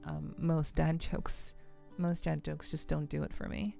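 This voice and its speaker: Low, creaky-voiced woman streamer chatting. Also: level tone, a little slow, warm tone, soft background music. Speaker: livestream host. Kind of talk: casual chat with livestream viewers.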